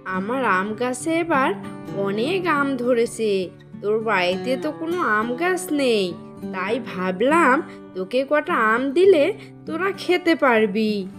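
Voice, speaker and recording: A woman's voice: fairly high pitch, lively intonation, takes it slowly, fairly bright tone, soft background music. A woman voicing a generous, affectionate pigeon aunt in a children's cartoon.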